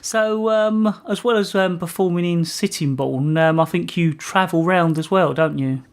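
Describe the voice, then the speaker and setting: A man hosting an informal community radio interview, asking questions in a hesitant, halting way. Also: high voice.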